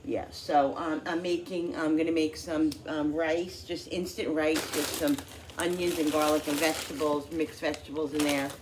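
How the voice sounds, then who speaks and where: Congested lady chatting while cooking, deep voice, rambly, noisy kitchen. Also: steady tone. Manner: rambling, halting, many fillers. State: congested with a cold. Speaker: cooking vlogger. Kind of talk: casual chat while cooking. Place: home kitchen.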